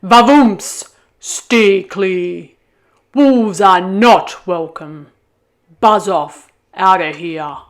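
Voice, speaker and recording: A woman's voice: mid-range voice, extremely slow. A woman voicing a big, bossy male ram who bellows bluntly in a children's story. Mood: angry. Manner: loud, blunt, commanding.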